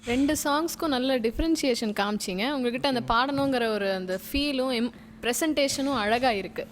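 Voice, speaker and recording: Female talent-show judge, high voice, mixes in English, noisy room. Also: normal speed. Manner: code-switches into English terms. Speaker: singing contest judge. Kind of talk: critique of auditions. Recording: clearly noisy room ambience.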